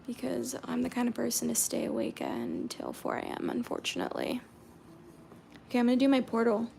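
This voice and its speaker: A young American woman from Georgia chatting casually with viewers on a painting livestream, reading their comments aloud and murmuring softly while she paints. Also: on the high side, huge pitch swings, fairly quick, creaky, a little hiss or hum. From Georgia, USA.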